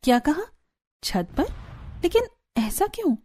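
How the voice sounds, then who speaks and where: A woman's voice: high voice, very animated, measured pace, darkish tone. A woman voicing a bewildered, exasperated young newlywed bride in a cartoon story, whiny and incredulous in her complaining. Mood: baffled.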